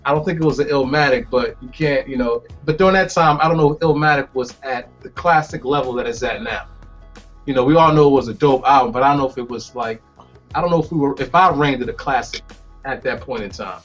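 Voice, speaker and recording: A man on the panel giving his views in a relaxed, reflective way. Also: medium pitch, fast. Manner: reflective, acts out sounds in stories. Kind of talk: casual conversation. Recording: lo-fi, faint music behind.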